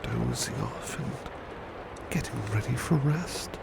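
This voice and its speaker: A man playing a devoted, proud butler in a soft-spoken ASMR roleplay, speaking in a hushed, formal and caring way. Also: medium pitch, huge pitch swings, gravelly, full character voice. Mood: soothing. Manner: hushed, slow, formal, gently solicitous. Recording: strong background ambience noise.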